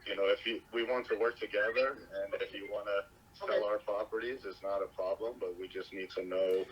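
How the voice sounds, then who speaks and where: A man's voice: lowish voice, steady tone, fairly quick, slightly rough. A man negotiating over the phone in a firm, complaining manner. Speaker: business partner on a phone call. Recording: dull phone call, faint noise.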